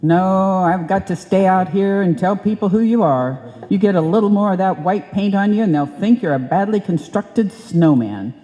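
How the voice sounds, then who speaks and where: A woman playing a patient, gently chiding wife in an old-time radio comedy, homey and wry in manner. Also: mid-range voice, moderate pace, few pauses, natural voice. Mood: wry, sociable. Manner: homey, coaxing, wryly chiding. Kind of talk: old-time radio comedy acting before a live audience. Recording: echoey live hall recording.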